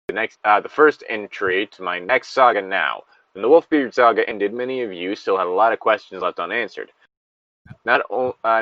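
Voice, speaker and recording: A man's voice: on the low side, bright, forceful tone, no echo, highs cut off. A man co-hosting a story reading show, switching between reading aloud and casual, sardonic, rambling commentary with some swearing.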